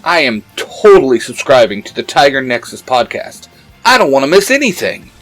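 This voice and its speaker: A man playing an excitable young male anime hero in a scripted advert. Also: medium pitch, moderate pace, poor audio. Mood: excited. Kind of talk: scripted promotional dialogue. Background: music.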